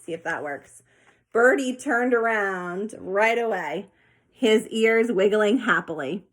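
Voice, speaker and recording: A woman reads a children's story aloud in a mid-pitched voice. Her delivery is expressive and animated, as a storybook narrator. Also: slow. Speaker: storybook reader.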